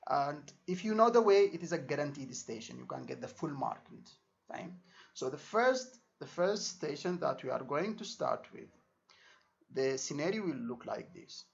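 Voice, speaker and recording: A man's voice: fairly high pitch, expressive, moderate pace, creaky. A man giving an informal exam lesson as a tutor, repeating himself and checking understanding with short tags. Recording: dull lo-fi microphone sound.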